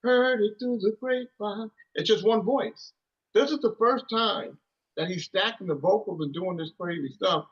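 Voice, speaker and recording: Husky-voiced man telling music stories. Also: high-pitched, moderate pace, muffled recording. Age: 30s. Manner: rambling, lots of restarts and "you know". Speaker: musician guest. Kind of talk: casual storytelling interview.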